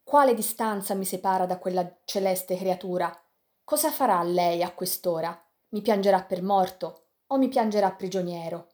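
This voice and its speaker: A woman reading an audiobook, voicing a proud male pirate chief who speaks softly and low in grief and fiercely in anger. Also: normal speed, natural voice. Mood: mournful.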